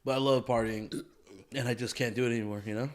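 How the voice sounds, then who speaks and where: A man's voice: mid-range voice, steady tone, fast. A man hosting a podcast in casual, profane, joking chat, full of slangy bro talk. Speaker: podcast host.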